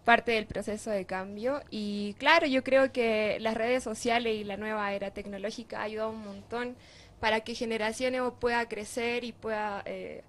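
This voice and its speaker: A woman's voice: a little high, steady tone, moderate pace. A young woman from Chile, answering interview questions in an earnest, reflective way with some fillers. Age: young adult. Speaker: interviewee, youth group member. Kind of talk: group interview.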